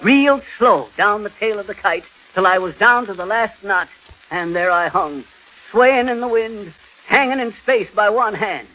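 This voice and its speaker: A man playing a boastful, middle-aged, folksy storyteller with ungrammatical, drawn-out talk in 1930s radio comedy. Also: sits high, lively intonation, bright, forceful tone, exaggerated voice. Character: boastful middle-aged folksy male tall-tale teller. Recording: noisy, crackly 1930s radio recording.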